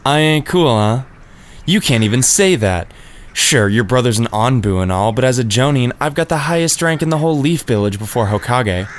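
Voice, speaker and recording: A man's voice: mid-range voice, lively intonation, normal speed, natural voice. A man playing a breezy, boastful young ninja teacher in an audio drama, casual, slangy and teasing. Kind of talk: fan audio drama acting.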